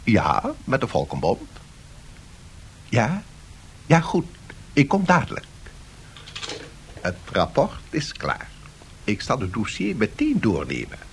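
Satirical radio play: officious, patronising diplomat, very animated intonation, faint noise behind. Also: male voice, on the low side, vocal fry, full character voice. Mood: brisk, obliging. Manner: measured, officious, placating. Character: evasive, patronising male League of Nations bureaucrat. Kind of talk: satirical radio drama acting.

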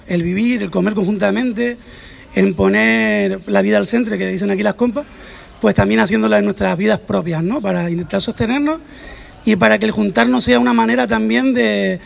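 A man, a community activist and radio organizer, introducing himself to a group in a measured way, listing things and adding tag questions. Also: high-pitched, creaky. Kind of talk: casual self-introduction to a group.

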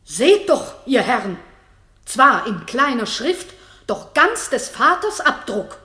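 A woman's voice: fairly high pitch, very animated, takes it slowly, sharp tone, reverberant, full character voice. An actress playing a bold, righteous, outspoken noblewoman in a classic German radio drama, declaiming with defiance. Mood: pleading, insistent.

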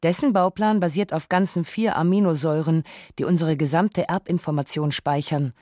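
A woman reading a scripted radio documentary narration in a measured, reflective way. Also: deep voice, fairly even intonation, mellow, no echo, studio quality.

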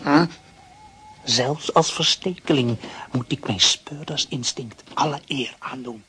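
A man's voice: mid-range voice, slow, sharp tone, slight background noise. A man voicing a melancholy male dog who is a stowaway sleuth, speaking in a theatrical, self-pitying inner monologue in a children's radio play. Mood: sly, sniffing.

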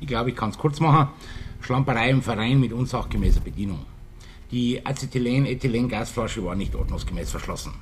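An actor playing a matter-of-fact police detective with a laconic, clipped way of reporting, in a radio crime drama. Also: male voice, mid-range voice, a little fast, a little hiss or hum, close to an everyday voice. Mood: dry, factual.

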